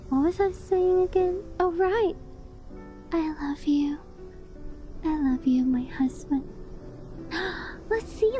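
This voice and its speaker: A woman's voice: high voice, dark tone. A young woman voicing a possessive, doting fox-spirit wife in a cutesy, affectionate ASMR roleplay. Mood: flustered, playful. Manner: cutesy, clingy, affectionate, with breathy gasps and giggles. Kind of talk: ASMR roleplay, addressing the listener.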